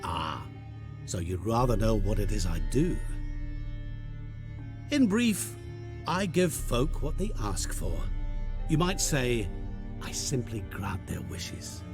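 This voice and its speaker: Game villain: a silky, mocking wish-trader, slow and sing-song. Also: male voice, medium pitch, huge pitch swings, exaggerated voice. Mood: sly. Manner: silky, teasing, theatrical. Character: sly, mocking male trader of wishes. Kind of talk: video game cutscene acting. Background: music.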